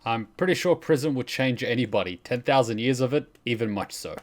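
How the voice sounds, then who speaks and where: Guy reacting to game vid, fast talker, dry questioning casual. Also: mid-range voice, lively intonation, a little hiss or hum. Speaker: reaction video commentator. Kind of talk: casual chat.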